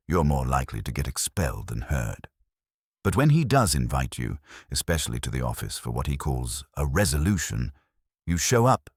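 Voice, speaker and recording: A man plays a wry detective's assistant in a mystery audiobook, with a very deep voice. The delivery is dry and direct, with plenty of movement in pitch. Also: slightly rough, dry recording, heavily acted. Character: wry, plain-spoken male detective's assistant. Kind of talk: audiobook detective story dialogue.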